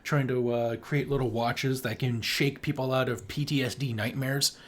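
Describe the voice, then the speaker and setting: A man's voice: medium pitch, fairly even intonation, normal speed. A man co-hosting a podcast, talking casually about his shows. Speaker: podcast co-host.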